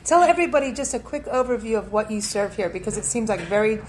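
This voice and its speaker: A woman's voice: a little high, animated, fast talker. A woman hosting a casual on-location interview show in a chatty, effusive way. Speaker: radio show host. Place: on location at venues in town. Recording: noisy on-location recording with ambient sound.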